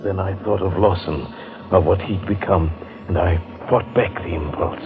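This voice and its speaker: A man acts out a haunted adventurer in an old radio play, his voice low and measured. He is torn by conscience and speaks with halting, dramatic weight. The recording is dull and aged. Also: close to an everyday voice. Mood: anguished.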